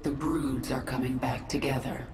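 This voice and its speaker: Casting: stern alien monarch in a game, very low female voice drenched in echo, commanding. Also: fairly even intonation, a little mellow, slight background noise. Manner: measured, imperious. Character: commanding alien swarm queen, stern and resolute. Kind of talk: video game character acting.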